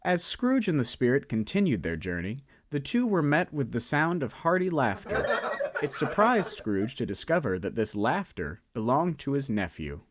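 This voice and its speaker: A man narrating a radio drama in an expressive storytelling manner. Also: medium pitch, moderate pace. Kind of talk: scripted narration.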